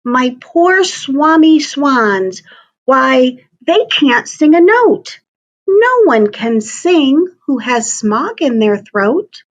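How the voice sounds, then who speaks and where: Woman voicing a complaining storybook creature, plaintive, high, slow, very animated intonation. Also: full character voice, rough recording. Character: coughing, croaky nuisance creature pleading against smog. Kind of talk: children's storybook read aloud.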